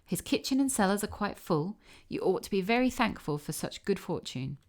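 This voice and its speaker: A woman voicing a bossy female field mouse in a storybook, scolding briskly and insistently. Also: mid-range voice, sing-song, a little mellow.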